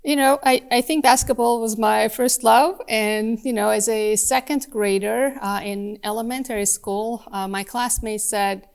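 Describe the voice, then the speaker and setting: Smooth-voiced woman from Turkey. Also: a little high, steady tone, unhurried, few pauses. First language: Turkish. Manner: thoughtful, laughs often. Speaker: guest, department chair. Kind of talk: casual podcast conversation.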